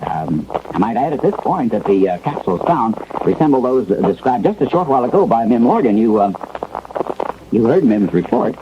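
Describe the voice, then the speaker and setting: A man's voice: medium pitch, very animated, moderate pace, lo-fi sound, noisy background, natural voice. A man playing a shaken studio news anchor in a 1970s radio drama, stammering and fumbling for words on an old recording. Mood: grave, uneasy. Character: shaken male radio news anchor reporting alien invasion.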